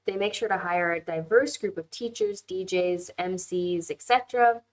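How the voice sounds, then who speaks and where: A woman's voice: fairly low pitch, normal speed, low-quality recording. A woman hosting a casual live online class, reading viewer comments and talking them through in a chatty, candid way. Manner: chatty and candid, with frequent um fillers and easy laughs.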